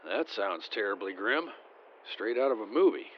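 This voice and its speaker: A man playing a brisk, cocky American military scout in an audio drama. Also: fairly low pitch, expressive, lo-fi sound, a little hiss or hum, close to an everyday voice. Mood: official, concerned.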